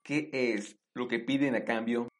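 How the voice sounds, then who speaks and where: A man's voice: mid-range voice, steady tone, a little slow, close to an everyday voice. A man playing a wary, aggrieved Inca ruler in a radio drama, solemn and suspicious in delivery. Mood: suspicious, bitter.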